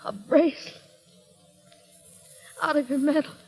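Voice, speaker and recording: A woman's voice: high voice, steady tone, unhurried, a little mellow, faint music behind. An actress playing a loving, devoted young wife who teases her husband affectionately, in 1940s radio acting style. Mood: tender. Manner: affectionate, teasing.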